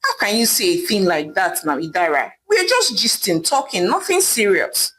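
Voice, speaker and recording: A woman's voice: medium pitch, sing-song, normal speed, a bit bright. A woman voicing a hurt young sister defending herself. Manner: defensive, wounded.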